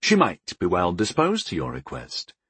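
A man voicing a portly, proud older baker father in an audiobook, gruff and resolute, explaining things without hurry. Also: low-pitched, wildly swooping pitch, fairly bright tone, no echo, pitched below the performer's own voice, heavily acted. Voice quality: gruff, grumbling. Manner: unhurried, explaining, homespun wisdom. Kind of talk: audiobook character dialogue.

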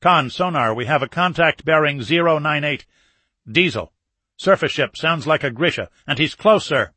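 An alert submarine crewman, acted by a male narrator giving crisp, urgent reports in an audiobook. The voice is slightly raised and bright, recorded dry and close. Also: fairly high pitch, lively intonation, moderate pace, dry recording. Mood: urgent, focused. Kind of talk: audiobook character acting.

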